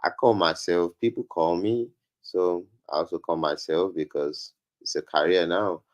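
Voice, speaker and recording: A man's voice: deep voice, fairly even intonation, moderate pace, a little mellow, lo-fi sound. A man from Nigeria with a Nigerian accent, chatting casually as a comedian guest on a talk show.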